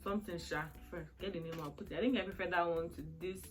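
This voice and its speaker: A woman's voice: on the low side, steady tone, fast talker, soft background music. A woman in her twenties chatting through a question-and-answer vlog, rambling and laughing often. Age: 20s. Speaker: vlogger. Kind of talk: casual Q&A chat.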